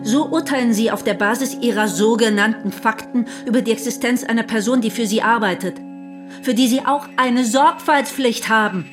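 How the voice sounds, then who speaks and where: Actress as high-voiced, indignant principled woman. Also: normal speed, over music, lightly acted. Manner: articulate, emphatic, formal. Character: indignant, principled woman defending an absent employee. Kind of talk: radio play acting.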